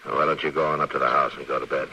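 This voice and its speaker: An actor with an American accent playing a steady, authoritative frontier lawman in an old radio western, asking direct, probing questions. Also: rumbling low, racing, full character voice. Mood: measured. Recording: faintly noisy vintage radio recording.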